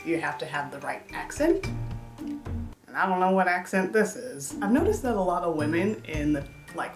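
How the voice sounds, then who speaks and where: A young woman with a deep contralto voice, talking casually and dryly to camera in a self-mocking vlog. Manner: dry, self-deprecating humor. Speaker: YouTuber. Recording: echoey room, mic at a distance, music behind.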